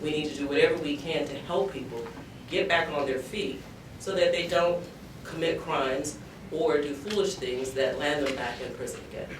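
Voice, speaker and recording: A middle-aged man speaking earnestly and deliberately as a panelist, with measured pauses. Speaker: panelist, entrepreneur. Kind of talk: earnest panel discussion speech. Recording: echoey room, distant mic, background rumble.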